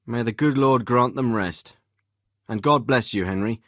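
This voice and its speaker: An actor playing a middle-aged noble lord and commander in a video game, with a measured, formal and paternal manner. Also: male voice, medium pitch, a bit bright, dry recording, studio quality. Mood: fatherly, approving. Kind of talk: acted video game dialogue.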